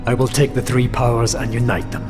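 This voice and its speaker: An actor playing a stern, resolute holy warrior in a video game, terse and defiant. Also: male voice, medium pitch, flat delivery, brisk, close to an everyday voice. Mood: grim defiance. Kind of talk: dramatic video game cutscene acting. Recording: loud scary music behind the voice. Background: loud ominous music.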